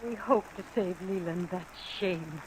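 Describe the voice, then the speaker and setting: An actress playing a proud, anguished older widow in a 1950s American radio drama, with formal, clipped, haughty diction. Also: female voice, on the low side, a little slow, natural voice, rough recording. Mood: anguished, resigned. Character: proud, anguished older widow guarding her family's honour. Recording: old radio recording under rain noise.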